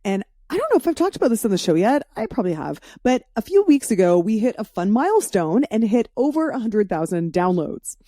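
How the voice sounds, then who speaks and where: A woman's voice: mid-range voice, sing-song, a little fast, few pauses, dark tone, dry recording, studio quality. A woman hosting a podcast in a casual, chatty, informal way, speaking freely with swearing. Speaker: podcast host. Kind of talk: casual solo intro and interview chat.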